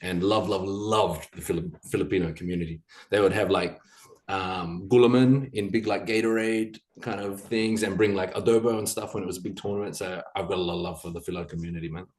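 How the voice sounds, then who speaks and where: Low-voiced Australian man, chatty guest. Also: brisk. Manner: animated, chatty, jokey. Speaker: podcast guest. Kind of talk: casual chat.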